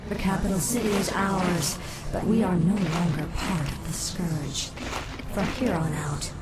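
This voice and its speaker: Woman playing a low-voiced, ruthless undead queen. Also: fairly even intonation, a little slow, very noisy, close to an everyday voice. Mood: cold menace. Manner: imperious, menacing. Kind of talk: video game voice acting.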